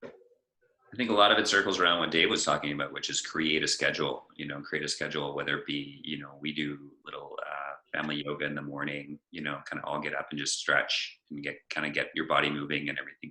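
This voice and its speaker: Very deep, forceful man in echoey room. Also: fairly even intonation, fast. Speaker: workshop participant. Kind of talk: casual discussion. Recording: roomy, echoey sound.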